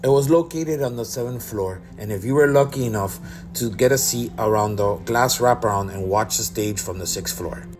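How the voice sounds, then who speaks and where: A man's voice: fairly low pitch, moderate pace, soft background music. A man narrating a cruise review voice-over in a blunt, complaining way.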